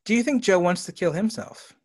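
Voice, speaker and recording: Calm probing male interviewer. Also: high voice, brisk. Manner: calm, probing, brief prompts. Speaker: interviewer, writer-director. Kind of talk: interview questions.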